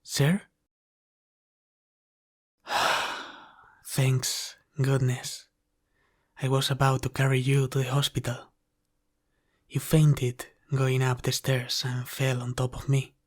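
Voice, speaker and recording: Man acting as a devoted half-dragon butler, ASMR roleplay, unhurried pace, even pitch, formal and deferential, caring. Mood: concerned.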